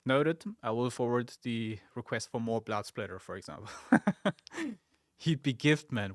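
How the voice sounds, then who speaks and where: A young man who grew up in Germany and speaks German as his first language. He hosts a studio livestream in a relaxed, chatty way, reading viewer comments aloud with many fillers and asides. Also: fairly low pitch, no echo. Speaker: social media community manager and stream host. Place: game studio office.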